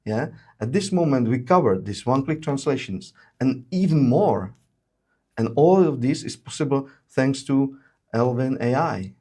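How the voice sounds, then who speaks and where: A man presenting a software webinar, explaining features with frequent hesitations and fillers. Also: mid-range voice, animated, unhurried. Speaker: webinar presenter, solution engineer.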